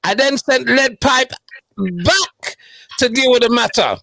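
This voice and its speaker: A man's voice: very high voice, a little slow, creaky, bright, forceful tone, no room sound. A middle-aged man hosting a radio show, chatting and teasing his guest in a casual interview.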